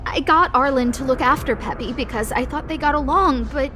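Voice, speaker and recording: A woman's voice: sits high, a little fast, sharp tone, slight background noise. A woman voicing an earnest, fretful young female boss in a video game, full of guilt. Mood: worried, regretful.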